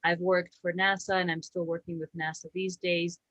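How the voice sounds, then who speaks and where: Woman, 30s, lowish voice, brisk explainer, dry close sound. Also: little pitch movement, fairly bright tone, no room sound. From Slovakia. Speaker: astrobiologist and habitat director. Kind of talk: presenting slides in a talk.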